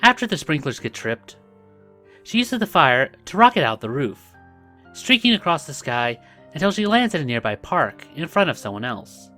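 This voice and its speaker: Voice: medium pitch, a bit bright. A video host narrating a comic recap in a scripted but casual style, with asides along the way. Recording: music behind the voice. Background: background music.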